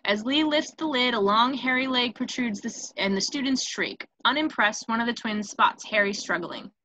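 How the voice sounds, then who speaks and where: Bright-voiced woman reading screenplay narration. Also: on the high side, lively intonation, moderate pace, low-quality recording. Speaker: stage-direction narrator. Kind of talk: scripted narration at a table read. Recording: noticeable background noise on home mic.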